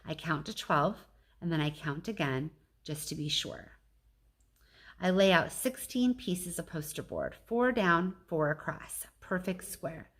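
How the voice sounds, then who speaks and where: A woman's voice: lowish voice, unhurried, fairly bright tone, slightly rough. A woman reading a children's storybook aloud like a teacher, with casual asides to her listeners.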